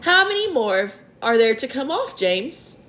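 A boastful, grinning centipede who crows about himself in a high, gleeful voice, as a woman reading a storybook puts him on. He talks loudly and loves to show off. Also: sing-song, measured pace, exaggerated voice. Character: boastful grinning male centipede, a cheeky rascal.

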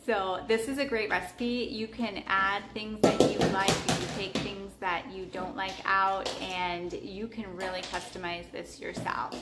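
A woman casually talking through her meal prep in a home kitchen, relaxed and chatty with lots of ums. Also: mid-range voice, a little slow, few pauses, loud music behind the voice. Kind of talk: casual cooking vlog narration. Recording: echoey kitchen with clattering dishes. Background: clinking cutlery, dishes and pans.

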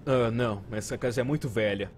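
A man's voice: mid-range voice, fairly quick, slight background noise, close to an everyday voice. A man with a Brazilian accent voicing an easygoing, concerned police partner in a cartoon. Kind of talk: cartoon dub.

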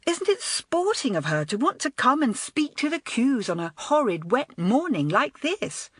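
A woman reading aloud and voicing an excited, eager schoolgirl in a lively, high girlish voice. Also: measured pace, slightly rough, no room sound, studio quality, heavily acted. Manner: bright, breathless, high girlish delivery.

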